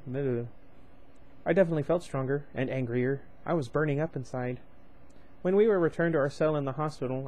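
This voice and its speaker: A man's voice: mid-range voice, dark tone. Rambling young man reading a novel aloud. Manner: rambling, chatty asides, loses his place. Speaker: book reader, channel host.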